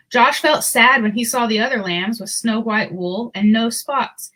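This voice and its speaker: Lady reading a kids book out loud, higher voice, super expressive storyteller. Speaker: children's book narrator. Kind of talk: expressive storybook read-aloud.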